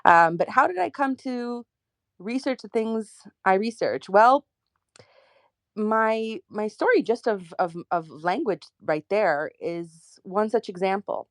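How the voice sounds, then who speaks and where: A woman from Poland of Ukrainian family, speaking with a slight Ukrainian accent in a chatty, lively way as a podcast guest. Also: mid-range voice, slow pace, no echo, clean studio sound. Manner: lively, chatty, self-deprecating asides. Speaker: political scientist guest. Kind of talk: interview answers.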